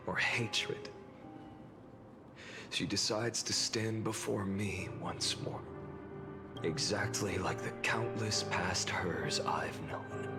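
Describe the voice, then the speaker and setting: Deep, slow, world-weary warrior. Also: male voice. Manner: brooding, dramatic, slow and measured. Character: weary, grim man burdened by countless cycles of memories. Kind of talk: dramatic video game cutscene acting. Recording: loud orchestral game music behind.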